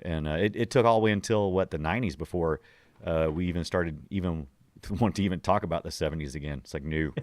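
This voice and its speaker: A man in his forties hosting a podcast, chatting casually and nostalgically and telling stories in an animated way. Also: low voice, quick pace, no echo. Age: 40s. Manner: animated storytelling with acted-out quotes. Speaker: podcast host.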